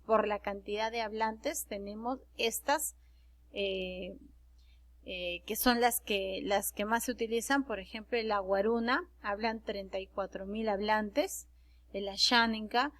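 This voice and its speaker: A woman teacher with a Peruvian Spanish accent giving a recorded class, explaining patiently with frequent fillers and self-corrections. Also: fairly high pitch. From Peru. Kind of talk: recorded online class lecture.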